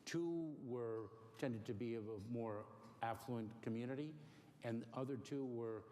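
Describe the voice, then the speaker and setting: A middle-aged man born in Cuba, giving careful, halting interview answers with many pauses and fillers. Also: mid-range voice, mellow. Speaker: interview guest. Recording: faint noise in a long-reverb room.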